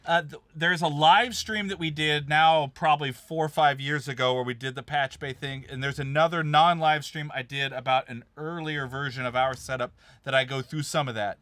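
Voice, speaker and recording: A man's voice: on the high side, fairly even intonation, normal speed, few pauses, fairly bright tone, no room sound. A man from Kansas hosting a casual live-stream chat about recording studios, chatty and laughing at himself. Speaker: host.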